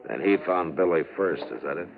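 A man with an American accent playing a stern, watchful frontier marshal in a radio western, speaking in terse, measured lines. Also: low-pitched, expressive, creaky, phone-quality sound, a crowd in the background. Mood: probing, measured. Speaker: actor, lead in radio western. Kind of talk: 1950s radio western acting. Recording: band-limited 1950s radio recording with music.

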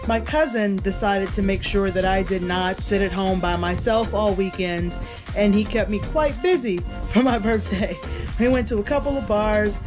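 A woman's voice: medium pitch, normal speed, over music. A woman in her thirties talking candidly to the camera in a casual home vlog, laughing easily. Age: 30s. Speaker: vlogger. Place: bedroom at home.

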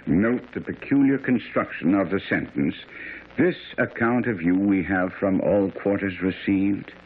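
An actor playing a brilliant, self-assured detective, explaining precisely and didactically in a radio drama. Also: male voice, deep voice. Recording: muffled, noisy old radio recording.

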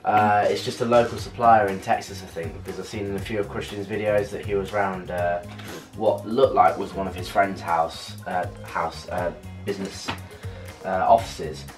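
A man in his twenties with a British accent chats casually and haltingly to camera as a vlogger, trailing off at the ends of phrases. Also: sits low, steady tone, faint music behind. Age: 20s. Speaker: vlogger unboxing a purchase. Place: small bedroom, later a bathroom. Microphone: camera a couple of metres away.

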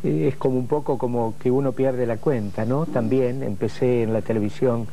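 A man's voice: medium pitch, fairly even intonation, normal speed, vocal fry, mellow, slight background noise, low-quality recording. An Argentine man, a guest on a studio panel, telling anecdotes conversationally. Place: television studio.

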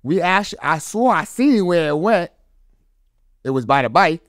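A man's voice: fairly high pitch, animated, moderate pace, dry recording. A man in his thirties hosting a podcast, telling stories in casual, slangy talk and repeating phrases for emphasis on a close studio mic. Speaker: podcast host. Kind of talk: casual chat, storytelling. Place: small studio with foam panels. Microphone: close studio microphone.

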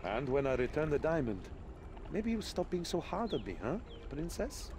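A man's voice: fairly high pitch, sing-song, normal speed, noisy background. A man voicing a cocky, teasing young adventurer hero in a video game, playful and theatrical. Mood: wry, upbeat.

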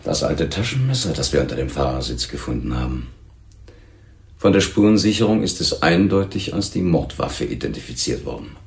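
Very deep, gravelly police lieutenant. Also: male voice, sing-song, echoey room, exaggerated voice. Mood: probing, insistent. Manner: deliberate, insistent. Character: grave, probing male police lieutenant interrogating suspects. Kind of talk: radio drama acting.

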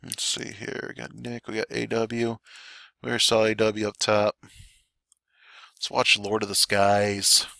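A man talks through a strategy game replay in easygoing play-by-play, stopping for fillers and a cough here and there. His voice sits on the lower side but has a sharp, forceful edge, and it has gone hoarse and raspy today. The recording is dry and close, with no room sound. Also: monotone, slow pace, long pauses, no room sound. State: voice getting raspy. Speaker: clan war recap commentator. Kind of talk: gameplay commentary.

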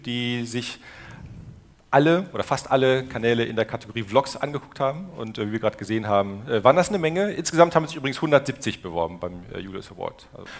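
A man's voice: medium pitch, a little hiss or hum. A man presenting on a theater stage at an awards show, upbeat with occasional fillers.

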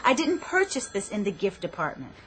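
A woman's voice: medium pitch, wildly swooping pitch, a little fast, a little hiss or hum. An actress playing an indignant, insistent woman customer at a department store in a radio play. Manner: insistent, complaining. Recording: music playing behind the voice.

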